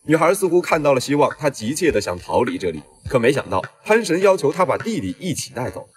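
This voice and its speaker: A man's voice: fairly high pitch, big pitch swings, quick pace. A man narrating a film recap in a scripted storytelling style, as the regular narrator.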